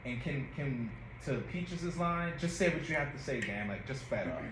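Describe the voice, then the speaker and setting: A man's voice: mid-range voice, animated, moderate pace. A man giving informal director's notes at a rehearsal, repeating phrases and demonstrating lines. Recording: roomy, faintly noisy audio.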